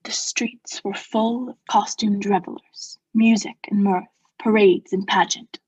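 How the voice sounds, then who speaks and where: A young woman playing a coldly vengeful noble who feigns friendship, in a measured, formal, insinuating theatrical radio-play style. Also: medium pitch, fairly even intonation, darkish tone, husky, no echo, highs cut off. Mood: sly recounting. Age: young adult. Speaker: student actor.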